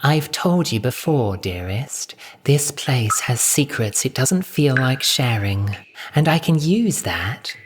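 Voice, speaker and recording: A man voicing a vain, flirtatious and imperious aristocratic villainess in an audio story, with breathy, theatrical, condescending delivery. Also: medium pitch, animated, slow, pitched above the performer's own voice. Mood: angry, then sweet.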